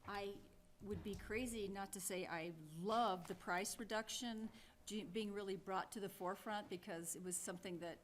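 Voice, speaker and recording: A woman's voice: mid-range voice, steady tone, normal speed. A woman chairing a town council meeting, her voice sitting in a middle range. She speaks plainly and thinks aloud, often restarting her sentences. A faint hum runs behind her.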